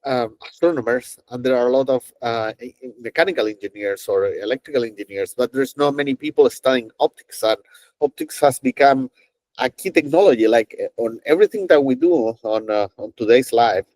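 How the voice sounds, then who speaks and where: A man's voice: medium pitch, very animated, a little mellow, dry recording, poor audio. Middle-aged man, dry close sound. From Chile. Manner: halting, many fillers and restarts, storytelling. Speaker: NASA engineer, guest. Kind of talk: conversational interview answers.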